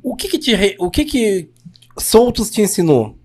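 A podcast host asking questions and reacting with short interjections in casual chat. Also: female voice, mid-range voice, big pitch swings, unhurried, a little mellow.

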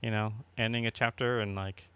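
A man of about thirty talks in a low, easygoing voice. He rambles through casual podcast chat, stacking fillers as he thinks aloud. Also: fairly quick, clean tone. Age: 30s. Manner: relaxed, rambling with many fillers. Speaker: podcast co-host.

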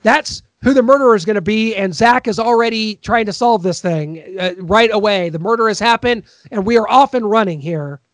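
A man's voice: sits high, a little fast, few pauses, fairly bright tone, no echo. A man co-hosting a podcast, eagerly recounting a TV episode's plot in casual chat. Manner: enthusiastic, recounts plot in detail. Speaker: podcast co-host.

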